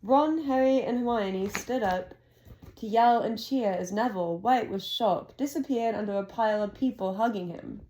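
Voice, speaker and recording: A woman's voice: a little high, rough recording. A mother reading a storybook aloud. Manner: brisk and gently coaxing between passages. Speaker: mother reading to her child.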